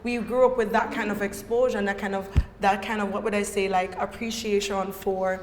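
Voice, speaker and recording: A Jamaican woman tells stories in a loose, chatty way, full of fillers and dipping into patois. Her voice sits at a middle pitch. It rings out in a reverberant hall with a long echo. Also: little pitch movement, fairly quick, a little hiss or hum. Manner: chatty storyteller, lots of fillers, slips into patois. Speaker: guest, brand co-founder. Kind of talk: casual podcast interview.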